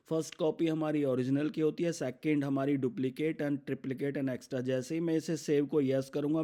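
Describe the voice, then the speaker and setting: An Indian man narrates a screen demo step by step. His voice sits a little high for a man but sounds soft and mellow. He explains in an instructional way, dropping English terms into his sentences. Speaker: software demo presenter.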